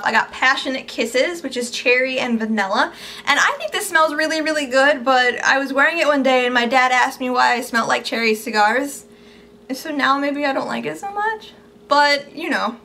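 Bubbly young woman with a bright high voice. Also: fairly quick. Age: young adult. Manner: chatty, upbeat, gushing about products. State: losing her voice, slightly squeaky. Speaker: beauty vlogger. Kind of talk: casual haul video. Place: small indoor room at home.

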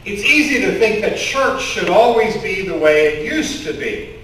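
A man's voice: high-pitched, animated, normal speed, a bit bright, slight background noise. A middle-aged man with a Kentucky accent preaching a sermon to his congregation, telling stories and gesturing as he speaks. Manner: conversational storytelling preacher, gestures with open hands. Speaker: pastor. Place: church sanctuary in front of a curtain. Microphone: stand mic with headset mic, filmed from the congregation. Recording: echoey sanctuary, voice from a distance.

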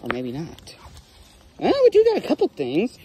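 Young male vlogger, high lively voice, mellow tone, excitable outdoor commentary, faint ambience. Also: normal speed, slight background noise. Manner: excitable, exclaims over finds. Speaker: magnet fishing vlogger. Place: outdoors on a lake dock. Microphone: handheld phone or body camera.

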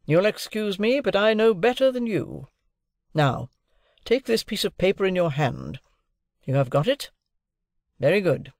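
A man's voice: high-pitched, lively intonation, unhurried, long pauses, no echo, voice pushed up. A man voicing a brusque, domineering middle-aged lawyer for an audiobook, with an emphatic, cross-examining manner. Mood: triumphant, overbearing. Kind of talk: audiobook character dialogue.

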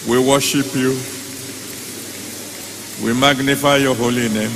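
Slow-paced older pastor praying. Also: male voice, medium pitch, steady tone, big-room sound, noisy background. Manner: slow, reverent, measured phrasing. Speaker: pastor leading a convention service. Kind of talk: solemn public prayer. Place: large open-sided church convention arena. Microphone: handheld microphone. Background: music under the voice.

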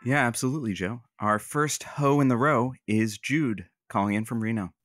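A snide actor as a male radio sidekick in a comic audio drama. His pitch is medium and his delivery is dry and folksy as he introduces callers. The recording has no room sound. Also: moderate pace, a bit bright, dry recording, faint music behind, lightly acted.

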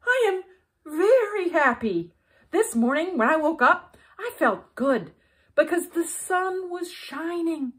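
A woman voicing a happy, gentle male frog in a storybook, contented and reassuring to his friend. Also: very high voice, voice pushed up, exaggerated voice. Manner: bright-spirited, reassuring.

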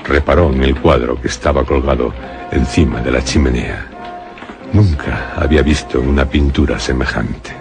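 A man's voice: a bass voice, moderate pace, over music. A man narrating a gothic suspense radio drama in a grave, scripted style. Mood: grave, ominous. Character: grave male narrator of a gothic horror tale.

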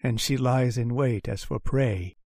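An actor playing a cold, puritanical male lodger who sermonizes with quiet menace in a radio drama. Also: medium pitch, a little slow, dry recording, studio quality. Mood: preaching.

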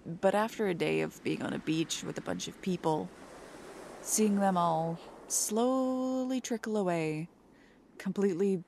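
A young woman doing a soft, playful, teasing ASMR girlfriend roleplay. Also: fairly low pitch, vocal fry, slight background noise.

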